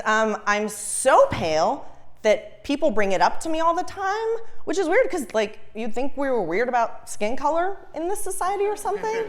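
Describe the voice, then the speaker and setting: A woman's voice: fairly high pitch, fairly bright tone. A young woman from Ukraine doing a stand-up comedy set on stage, chatty and self-mocking, often laughing at her own jokes. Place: comedy stage before a live audience.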